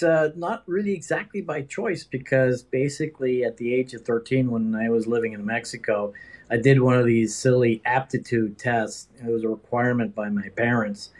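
A man from the Netherlands answering interview questions as a doctor, conversational, with hesitant, halting phrasing. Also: medium pitch, darkish tone. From Netherlands, raised in several countries. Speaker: interview guest, regenerative medicine doctor.

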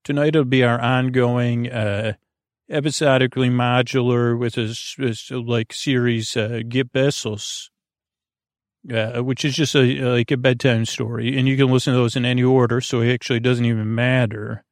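A man's voice: mid-range voice, level tone, normal speed, no echo, studio quality. A man hosting a bedtime podcast in a meandering, hesitant, whimsical monologue, often breaking off his sentences and wandering off topic. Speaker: host of a bedtime sleep podcast. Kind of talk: rambling, off-topic monologue to the listener.

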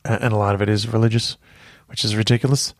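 A man's voice: lowish voice, little pitch movement, quick pace, no room sound, studio quality. A man in his forties with an American accent hosting a podcast in casual chat, rambling with frequent restarts. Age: 40s. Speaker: podcast host.

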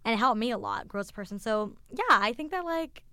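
A woman's voice: fairly high pitch, lively intonation, fast talker, pushed and bright, clean tone, no echo. A young woman on a podcast, talking reflectively in a casual way and leaning heavily on "like". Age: young adult. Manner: reflective, heavy use of "like". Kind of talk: casual group chat.

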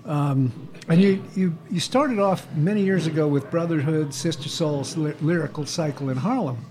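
A man's voice: a little high, moderate pace, darkish tone, faint music behind, low-quality recording. A man interviewing guests, asking his questions hesitantly with restarts.

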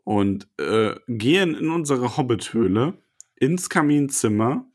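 Husky-voiced man in joking podcast talk. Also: mid-range voice, lively intonation, unhurried, a little mellow. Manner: joking, giggly banter. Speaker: podcast co-host. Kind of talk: casual podcast chat.

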